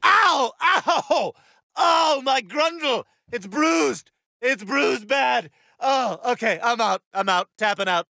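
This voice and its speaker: A man voicing a brash, crude animated sitcom character with exaggerated, brazen delivery. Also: high voice, normal speed, vocal fry, few pauses. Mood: loud, agitated. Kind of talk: animated comedy voice acting.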